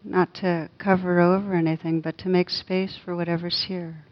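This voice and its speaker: A woman leading a guided meditation as a teacher, gentle and unhurried, with long pauses between soft phrases. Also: fairly low pitch, level tone, dull audio.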